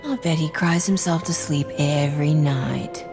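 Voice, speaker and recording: Surly starship smuggler, deep female voice, contemptuous, curt, music behind, game dialogue. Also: measured pace. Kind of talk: video game character dialogue. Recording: reverberant hall sound under music.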